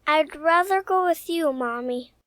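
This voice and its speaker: A woman's voice: sits high, measured pace, fairly bright tone, no room sound. A woman voicing a shy, polite, self-doubting little boy in a mid-century radio soap opera, with a hesitant childlike manner. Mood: polite, timid.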